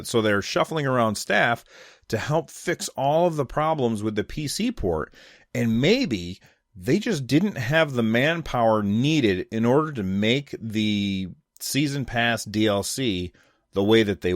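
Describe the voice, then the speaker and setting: A man's voice: mid-range voice, lively intonation, measured pace, no room sound, studio quality. A man hosting a solo podcast, giving casual opinion commentary in an emphatic, conversational way. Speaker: podcast host.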